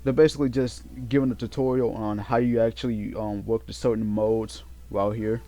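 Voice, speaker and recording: A man giving casual, unscripted game commentary in a halting way, often repeating and correcting himself as he goes. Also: lowish voice, little pitch movement, dark tone. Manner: halting, repetitive, self-correcting. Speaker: let's play host. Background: game music.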